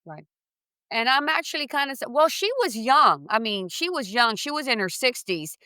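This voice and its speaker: A woman's voice: fairly high pitch, big pitch swings, moderate pace, sharp tone, studio quality. A woman interviewing in a conversational way, echoing and affirming what her guest says. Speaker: podcast interviewer.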